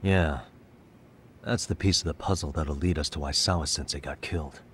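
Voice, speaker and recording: A man's voice: very deep voice, moderate pace, noisy background. An actor playing a cool, level-headed investigator in his thirties, speaking matter-of-factly in an English game dub. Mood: pondering. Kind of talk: English dub video game drama acting.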